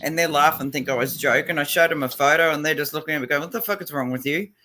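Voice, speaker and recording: Bright-voiced man, casual chat. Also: on the high side, quick pace. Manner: loose and profane, with frequent fillers and laughs.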